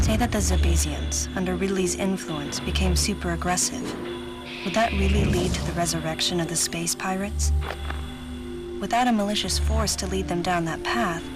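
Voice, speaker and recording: An actress playing a stoic, reserved female bounty hunter in a video game, speaking in a measured, deliberate, analytical way. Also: fairly low pitch, close to an everyday voice. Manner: measured, deliberate, restrained delivery. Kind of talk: video game cutscene dialogue and inner-monologue narration. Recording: studio voice with loud music.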